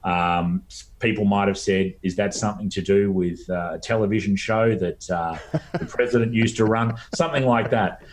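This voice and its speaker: A middle-aged Australian man giving explanatory interview answers as a guest expert, in a halting way with frequent ums. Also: sits low, darkish tone, slight background noise.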